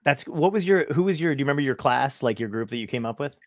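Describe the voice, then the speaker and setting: A man in mid-range pitch chatting as a podcast host, rattling along at a brisk clip with lots of swearing and tangents. The sound is dry and close, with no room around it. He speaks loosely and laughs at himself. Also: lively intonation, no room sound. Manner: fast, profane, self-deprecating, rambling. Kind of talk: casual interview chat.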